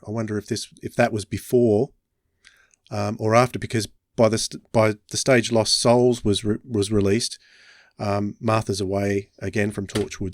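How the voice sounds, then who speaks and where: A man's voice: fairly low pitch, no room sound, clean studio sound. A man hosting a podcast, chatting casually with guests about audio dramas. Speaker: podcast host.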